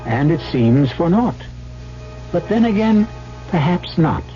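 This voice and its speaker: A man narrating a mystery radio show as its host, in a measured, sardonic, scripted style. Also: a little high, sing-song, mellow. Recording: dull old radio recording with music. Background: music.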